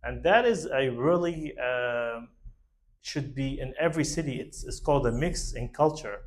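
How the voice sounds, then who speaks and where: A man giving an informal explanatory monologue as a show host, speaking haltingly in rambling phrases with grammar. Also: medium pitch. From Middle East. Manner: halting, rambling, with broken phrasing and non-native English grammar. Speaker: host of a concept talk show.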